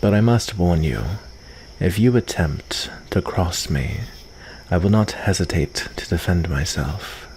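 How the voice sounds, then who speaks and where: A man playing a calm, lonely, guarded vampire of the forest in an ASMR roleplay, with formal, dryly teasing phrasing. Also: deep voice, slight background noise, low-quality recording. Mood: wary, warning. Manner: unhurried, soft-spoken formal phrasing with dry teasing. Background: cricket ambience.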